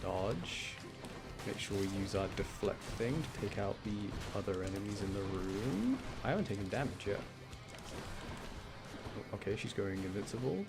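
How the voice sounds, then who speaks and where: Low-voiced British man streaming, music behind. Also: expressive, normal speed, gravelly. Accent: British, generic English. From English Midlands, UK. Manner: chatty, rambling, thinking aloud. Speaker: live streamer playing a video game. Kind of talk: casual chat with gameplay commentary. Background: game music.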